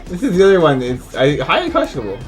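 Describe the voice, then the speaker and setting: A man's voice: on the high side, huge pitch swings, quick pace, faint music behind. A man giving casual, incredulous live commentary as a video game streamer, exclaiming and swearing freely.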